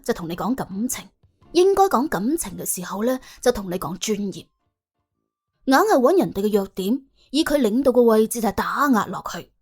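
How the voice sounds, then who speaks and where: A woman narrating an audiobook in colloquial Cantonese, telling the story in a wry, dramatic, expressive way. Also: fairly high pitch, husky.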